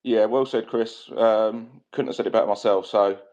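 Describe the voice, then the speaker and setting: A man's voice: lowish voice, fairly even intonation, normal speed, a little mellow. A man hosting a casual online fan chat, talking with many fillers and restarts. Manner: hesitant, many fillers and repeats. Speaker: host. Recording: dull lo-fi sound.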